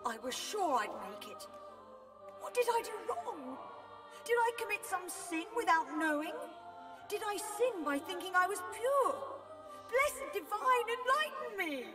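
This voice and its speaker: A very high-pitched, anguished ghost of a devout woman pilgrim, her pleading swinging widely in pitch. It is dramatic game acting, bewildered and desperate, heard from afar under loud music. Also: sing-song, a little slow, recorded from a distance, exaggerated voice. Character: anguished pious female spirit of a dead pilgrim.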